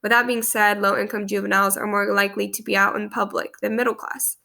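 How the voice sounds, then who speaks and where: A woman's voice: medium pitch, flat delivery, quick pace, gravelly, sharp tone. A young woman in her twenties with a stuffy cold, earnestly reading a class presentation to a webcam in a small room. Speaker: student presenting a class post. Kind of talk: read-aloud presentation. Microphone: webcam at close range.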